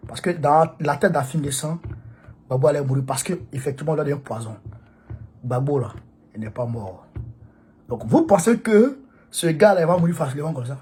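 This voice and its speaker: Husky man. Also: fairly high pitch, expressive, normal speed, long pauses, darkish tone. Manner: emphatic, repetitive, preachy. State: has a cold. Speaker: political activist addressing supporters. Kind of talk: impassioned political monologue.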